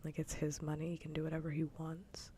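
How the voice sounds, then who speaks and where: A woman streamer chatting casually in a soft, breathy ASMR whisper close to the microphone, with a wry, conversational way of griping. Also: low voice, monotone, fast, a little hiss or hum. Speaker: streamer hosting a live ASMR show.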